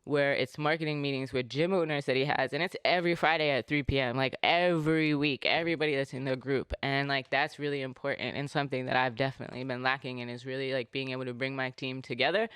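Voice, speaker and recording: A man hosting a podcast in casual, rambling talk, full of fillers and animated asides. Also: medium pitch, fast talker, few pauses, clean tone, no echo. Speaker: podcast host.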